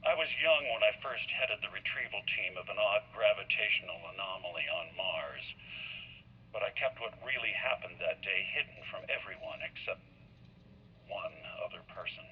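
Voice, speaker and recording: An actor playing a grave, haunted male scientist in a video game, phrasing deliberately with weighty pauses, through an old recording filter. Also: lowish voice, lively intonation. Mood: confessional, haunted. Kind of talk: dramatic video game acting, recorded confession. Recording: muffled, faintly noisy recorded log.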